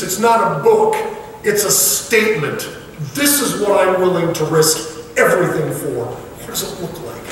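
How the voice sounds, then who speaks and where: A man's voice: high voice, fairly bright tone, a little hiss or hum. A male pastor preaching a sermon, earnest and pressing the congregation with repeated questions. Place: large church sanctuary. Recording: echoey hall, mic far off.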